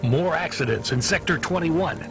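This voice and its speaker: A man playing a gruff, overworked delivery dispatcher in a video game, scolding and weary. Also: fairly high pitch, moderate pace, music in the background, natural voice. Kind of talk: scripted game acting.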